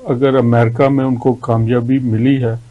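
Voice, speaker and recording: A man's voice: mid-range voice, little pitch movement, moderate pace, dull audio. A man in his fifties with a Pakistani accent, hosting a discussion in a TV studio. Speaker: talk show host. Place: indoor TV studio. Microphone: lapel mic.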